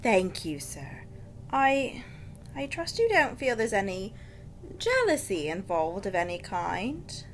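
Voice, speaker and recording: A woman's voice: medium pitch, very animated, takes it slowly, faint music behind. A woman playing an anxious, hesitant married lady in a melodramatic radio crime drama. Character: anxious, fretful married society lady informant. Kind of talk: melodramatic period radio acting.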